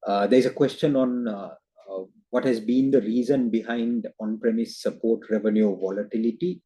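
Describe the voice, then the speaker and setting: A man giving a formal investor presentation as a company executive on a video call, halting often with hesitant filler sounds. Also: lowish voice, steady tone, warm tone, slightly rough, low-quality recording. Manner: hesitant, halting delivery with frequent uhs.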